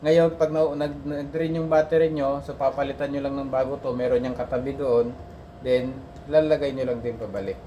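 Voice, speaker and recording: A ship's officer walks through a piece of equipment in an easygoing way, tossing in tag questions and restarting his sentences. His voice sits at an ordinary pitch for a man. He is recorded on a handheld camera aboard a vessel, with faint background noise. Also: fairly even intonation, normal speed, dark tone, lo-fi sound. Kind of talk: casual instructional demo. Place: aboard a ship.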